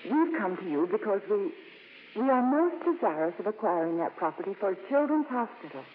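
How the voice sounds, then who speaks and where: A woman acting a gentle, persistent nun in a 1950s radio drama, courteous and apologetic in manner. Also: mid-range voice, sing-song, fairly quick, natural voice. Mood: earnest, persistent. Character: gentle, earnest, persistent nun with polite resolve. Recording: tinny, reverberant, lightly noisy old radio recording.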